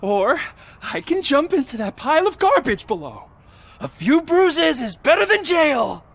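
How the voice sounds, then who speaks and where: A man's voice: very high-pitched, sing-song, unhurried, creaky, exaggerated voice. A man playing an easily frightened but defiant small-time criminal in a video game, streetwise, pleading and blustering.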